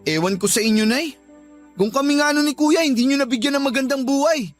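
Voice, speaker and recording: A man's voice: very high-pitched, brisk, faint music behind. A man playing a sullen, discouraged young Filipino working-class son, plaintive and grumbling, in a Tagalog radio drama. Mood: resentful.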